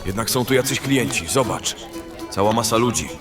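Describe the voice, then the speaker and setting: A man's voice: lowish voice, lively intonation, moderate pace, over music, lightly acted. A man playing a brash, callous and coarse bandit in a fantasy audio drama. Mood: blunt. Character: brash, callous, coarse male bandit who loves food. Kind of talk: fantasy radio drama acting. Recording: clearly noisy background ambience.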